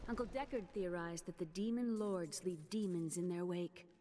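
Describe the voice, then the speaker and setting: A woman's voice: lowish voice, normal speed, warm tone, natural voice. A woman playing an earnest, thoughtful young female scholar in a fantasy video game. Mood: earnest, reflective.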